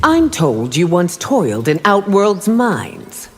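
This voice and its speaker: A stern, righteous empress from a fighting game. Her low voice moves slowly and formally with dramatic rises and falls. It rings with long reverb over music. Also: big pitch swings. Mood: cold disdain. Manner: imperious, formal phrasing. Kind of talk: fighting-game confrontation dialogue.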